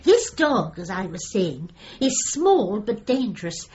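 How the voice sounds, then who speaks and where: An actress playing a flustered, genteel, long-suffering widow in a comic radio drama, speaking in a fussy, politely exclaiming way. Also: female voice, medium pitch, sing-song, a little slow, dark tone, lo-fi sound.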